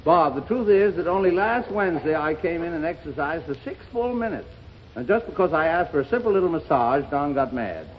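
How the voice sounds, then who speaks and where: Man playing a boastful out-of-shape man, blustering, high-ish voice, muffled 1930s radio broadcast, faint hiss. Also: expressive, lightly acted. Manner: blustering, quick punchlines. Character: boastful out-of-shape man, bragging and self-deprecating. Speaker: comic guest. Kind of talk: 1930s radio comedy sketch. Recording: muffled old radio broadcast with studio audience. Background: studio audience laughter.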